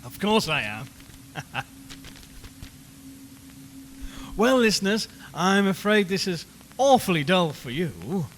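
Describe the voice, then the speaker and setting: A man's voice: high-pitched, big pitch swings, measured pace, fairly bright tone, exaggerated voice. Man acting a nervy radio reporter in echoing room. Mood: nervously jovial. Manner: rambling, repeats phrases, nervous forced cheer. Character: unnerved male radio reporter, chatty, slowly losing his nerve. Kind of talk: audio horror drama, live broadcast monologue. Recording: long hollow reverb, clear background noise.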